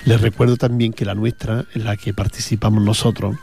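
A man's voice: lowish voice, flat delivery, a little fast, vocal fry, warm tone, breathy edge. A man with an Andalusian accent, chatting casually as a radio host between songs, rambling with fillers. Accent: Andalusian Spanish. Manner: rambling, chatty, with frequent fillers and repeated words.

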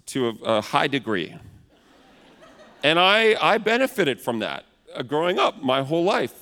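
Loud big-voiced preacher man, high. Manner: acts out complaining customers in raised voice. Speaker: pastor. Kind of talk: sermon. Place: large church hall. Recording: long hall reverb.

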